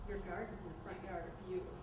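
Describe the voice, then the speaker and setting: A woman's voice: on the low side, steady tone, fast talker, close to an everyday voice. A woman playing a snooty, critical mother of a grown son in a staged screenplay reading, haughty and dryly passive-aggressive. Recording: echoey room, distant mic, noisy.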